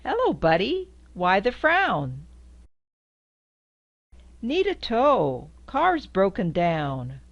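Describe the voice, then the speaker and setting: Older lady reading a kids book slow, sing-songy, squeaks up on the honks, kinda muffled home video. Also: huge pitch swings. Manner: sing-song, squeaks high on the horn sounds. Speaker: storybook reader. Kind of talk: reading a picture book aloud. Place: indoors before a quilt backdrop.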